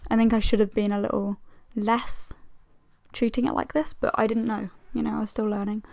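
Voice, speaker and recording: A woman's voice: on the high side, level tone, brisk, creaky, darkish tone, dry recording, studio quality. A woman in her twenties with a slightly strained voice, giving a chatty, self-deprecating, rambling commentary as a casual video host. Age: 20s. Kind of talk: casual sketchbook tour commentary.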